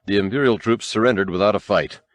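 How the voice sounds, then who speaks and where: Low-voiced man narrating an audiobook. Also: quick pace, dry recording. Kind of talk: dramatic scripted storytelling narration.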